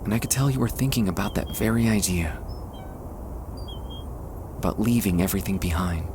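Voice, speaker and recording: A man playing a nervous, tender young man, a childhood best friend, in an intimate ASMR roleplay, speaking haltingly with pauses over soft outdoor ambience. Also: fairly low pitch, close to an everyday voice. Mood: reflective, nostalgic. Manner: hesitant, halting, trailing pauses. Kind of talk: ASMR boyfriend roleplay, intimate acted monologue. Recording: faint background ambience noise. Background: soft outdoor park ambience.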